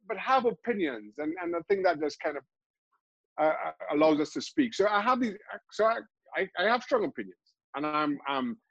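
A middle-aged man from Trinidad and Tobago, a football pundit, answering interview questions in a halting way, restarting and repeating words often. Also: on the high side, no room sound, dull audio. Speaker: football pundit and show host.

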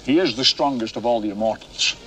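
A man's voice: mid-range voice, a little fast, pushed and bright, a little hiss or hum, natural voice. A man playing a grave immortal mentor in a film, solemn and portentous in delivery. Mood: grave foreboding. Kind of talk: dramatic film dialogue. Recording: distant film audio under music.

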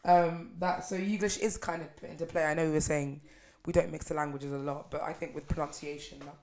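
Young Ugandan-raised woman, low relaxed voice. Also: a little fast. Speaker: podcast co-host. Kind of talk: casual chat.

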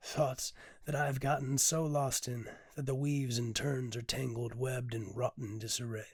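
A hoarse man with a raspy voice, a podcast host and poet who reads a poem and then talks in a candid, rambling way, swearing casually. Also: medium pitch, level tone, normal speed, dry recording, studio quality. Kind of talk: poetry reading then casual reflective talk.

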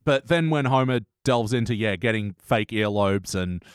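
A man's voice: fairly low pitch, normal speed, clear, resonant tone, no room sound. Guy hosting a chatty review podcast, swears a bit, banters along, close dry recording. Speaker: podcast host. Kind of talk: casual review chat about a TV cartoon.

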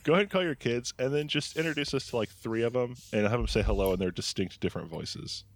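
A man's voice: mid-range voice, quick pace. A man co-hosting a comedy podcast, playing along with dry, teasing banter. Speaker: podcast co-host. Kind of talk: casual comedic podcast chat.

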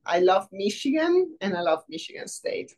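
A middle-aged woman from Rome with an Italian accent, a professor speaking in a casual interview, thoughtful with many pauses. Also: medium pitch, big pitch swings. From Rome, Italy. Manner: warm, thoughtful, many "um" pauses, laughs easily. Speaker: biology professor, guest.

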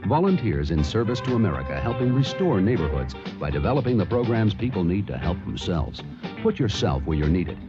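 A man's voice: fairly low pitch, big pitch swings, fairly quick. A man narrating a scripted public service announcement in an earnest style. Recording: dull radio recording over music.

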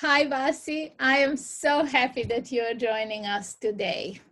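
A woman's voice: high-pitched, level tone, a little slow, fairly bright tone. A woman hosting a podcast interview in an effusive, gushing conversational way.

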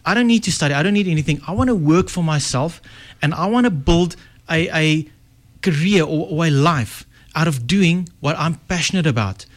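A man's voice: on the high side, normal speed. A middle-aged man with an Afrikaans South African accent, a guest telling his story in a casual, reflective way. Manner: chatty, reflective, with self-corrections. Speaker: guest, success strategist. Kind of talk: casual interview talk.

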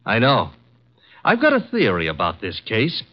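An actor playing a brash young assistant who talks in a breezy, sarcastic manner, in 1950s radio drama style. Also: male voice, fairly low pitch, wildly swooping pitch. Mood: wry. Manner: breezy, sarcastic, quick with a comeback. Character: wisecracking young male assistant, brash and cheeky. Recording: dull lo-fi 1950s radio broadcast.

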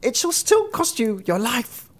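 A storyteller voicing a small vengeful sparrow with a squeaky, chirpy, taunting delivery. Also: sing-song, a little slow. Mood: menacing, taunting.

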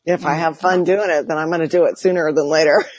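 A woman's voice: deep voice, steady tone, fast talker, darkish tone, dry recording. A woman guest, a life coach, telling her story in a reflective, laughing way with many fillers. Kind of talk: casual interview chat.

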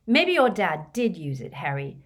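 A woman voicing a clever, stubborn schoolgirl who lectures her friends in an earnest, high voice. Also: sing-song, measured pace. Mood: reproachful.

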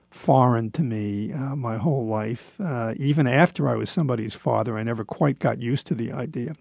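A man in his seventies talks through his thoughts in a gravelly, creaky voice at a middling pitch. His delivery is a halting, rambling personal monologue, reflective and self-deprecating. The recording is close and dry. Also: darkish tone, no room sound. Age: 70s.

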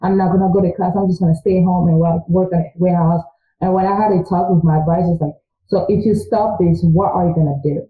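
Young french-speaking woman from congo, chatty and earnest, low-ish voice, sounds like a phone line. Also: steady tone, quick pace. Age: young adult. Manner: earnest, chatty, quoting her own thoughts aloud. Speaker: guest, recent college graduate. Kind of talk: casual interview talk. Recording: tinny phone-like line, echoey room.

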